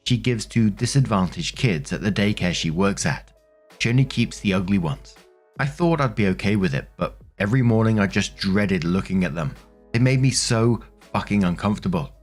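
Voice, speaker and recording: A man with a fairly low voice narrates online stories as a host. He reads in a conversational style and speaks directly to his listeners with casual commentary. Music plays faintly behind his voice.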